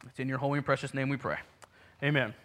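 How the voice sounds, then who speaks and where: A man's voice: medium pitch, fairly even intonation, fast talker, fairly bright tone. A man in his thirties who grew up in Puerto Rico, preaching a sermon with earnest, self-deprecating asides and frequent restarts. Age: 30s. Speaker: pastor.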